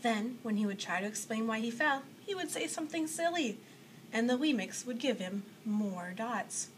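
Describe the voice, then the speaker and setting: A young woman reads a children's story aloud. Her voice sits on the high side. She is recorded in a small room by a camera a little way off, with mild background noise. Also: lively intonation, moderate pace. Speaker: storybook reader. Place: small indoor room with block walls.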